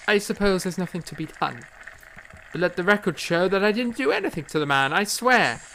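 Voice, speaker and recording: Casting: male actor as proud, wry young composer in period audio drama, slightly high voice with creak, formal and aggrieved. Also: expressive, brisk, pushed and bright, slight background noise, full character voice, rough recording. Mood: indignant, distressed. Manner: formal, wordy period speech.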